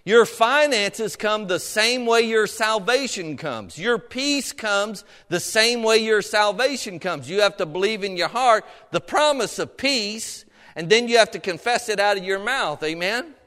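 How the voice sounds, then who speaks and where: A middle-aged man preaches in a high-pitched voice that rings through a long hall reverb. His phrasing is folksy and colloquial. He exhorts the congregation, often checking in with questions and amens. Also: lively intonation, unhurried, few pauses, sharp tone, clean tone. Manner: exhortatory, punctuates points with amen and questions to congregation. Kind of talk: sermon. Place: preaching to a congregation in a large room.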